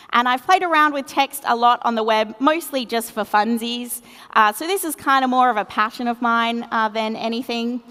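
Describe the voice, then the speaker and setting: A woman with an Australian accent talks through a conference presentation in a laid-back, self-mocking way. Her voice sits a little high and comes across smooth and clear. A long reverb from a big hall trails behind it. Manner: relaxed, self-deprecating, chatty with fillers. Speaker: conference speaker. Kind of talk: tech conference talk.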